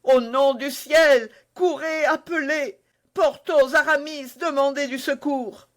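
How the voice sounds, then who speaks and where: A reader voicing a passionate young swordsman who calls out loudly and urgently for help. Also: medium pitch, unhurried, voice pushed up, natural voice. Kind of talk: audiobook character voice.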